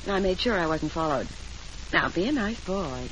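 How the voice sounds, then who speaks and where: An actress playing a scheming young widow, self-assured, teasing and cold, in 1940s radio melodrama style. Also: female voice, on the low side, animated, normal speed, fairly bright tone. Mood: breezy, coy. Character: cool, scheming young widow, coquettish and menacing. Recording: noisy old radio transcription.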